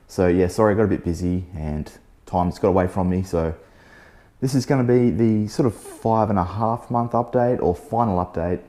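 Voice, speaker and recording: A man with a lowish, mellow voice that has gone slightly hoarse. He talks in a relaxed, chatty way as he walks through a car-care test, filling gaps with easy "so yeah" asides. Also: normal speed. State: losing his voice, a bit hoarse. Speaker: car detailing channel host. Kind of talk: casual product-test vlog narration. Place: outdoors beside a test panel.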